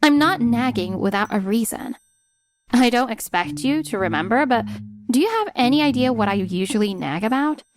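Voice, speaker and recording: An actress playing a fed-up, sharp-tongued wife in a dramatized manga dub, sarcastic and pointed. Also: female voice, fairly high pitch, expressive, moderate pace, lightly acted. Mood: confronting. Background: music.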